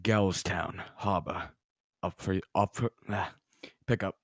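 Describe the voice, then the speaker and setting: A very low, close and dry male voice of an actor at a table read as an enormous old-order paladin. He is gruff and terse, and speaks like someone used to command. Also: steady tone, measured pace, dry recording. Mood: gruff, businesslike.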